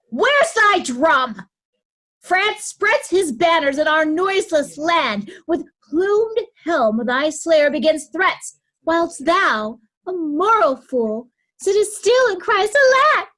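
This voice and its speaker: Casting: actress as ruthless scheming wife of a lord, Shakespearean tragedy reading, high voice, unhurried, cutting, declamatory. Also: female voice, fairly bright tone, full character voice. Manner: biting, declamatory, deliberate verse delivery. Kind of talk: Shakespearean reader's theatre.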